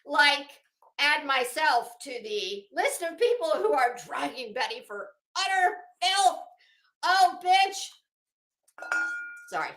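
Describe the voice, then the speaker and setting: High-pitched, sassy woman vlogger. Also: big pitch swings, slow pace, a bit bright, echoey room, rough recording. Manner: sassy, salty-tongued, theatrical. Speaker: YouTube host. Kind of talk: casual rambling vlog chat.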